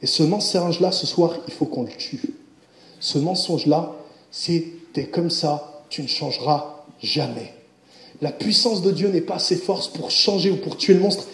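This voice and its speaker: A man preaching a sermon, speaking directly to his congregation in an animated way. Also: fairly high pitch, reverberant. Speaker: pastor.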